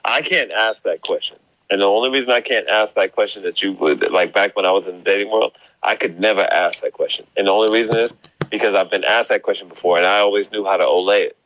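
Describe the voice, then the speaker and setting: A man's voice: on the low side, quick pace, a little hiss or hum. A man in his twenties chatting casually and playfully with friends in a group conversation. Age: 20s. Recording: muffled lo-fi audio.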